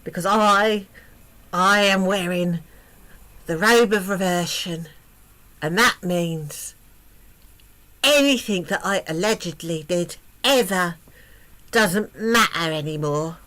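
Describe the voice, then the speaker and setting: A woman voicing a brash, foul-mouthed young princess who is secretly a troll, shouting and swearing. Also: fairly low pitch, takes it slowly, long pauses. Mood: gloating. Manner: loud, crude, boastful, swearing.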